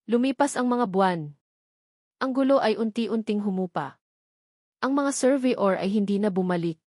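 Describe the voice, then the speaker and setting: A woman with a Filipino accent reads a dramatic love story as a narrator. Her voice sits at an average pitch, recorded dry with very little room sound. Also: a little mellow, dry recording. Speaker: audiobook narrator.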